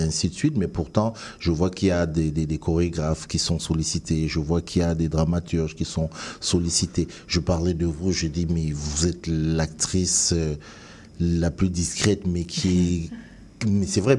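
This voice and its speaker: Man, host, very deep voice, husky, dark tone, encouraging murmurs. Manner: frequent encouraging murmurs, finishes the guest's sentences. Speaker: talk show host. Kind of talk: interviewing a guest.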